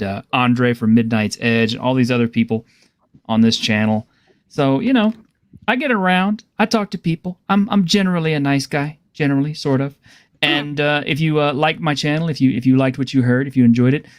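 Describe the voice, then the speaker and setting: A man hosts a casual pop-culture chat over an online call, his voice mid-pitched and heard close and dry. He rambles through his lines with plenty of fillers and laughs along with his guests. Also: no room sound. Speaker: host. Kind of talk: casual group chat on a livestream.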